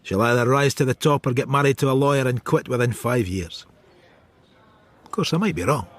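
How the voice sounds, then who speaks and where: Radio drama narrator: actor as brooding, wry police detective, husky mid-pitched voice, close dry studio. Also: male voice, a little fast, no room sound, close to an everyday voice. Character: world-weary, cynical male police detective narrating his thoughts.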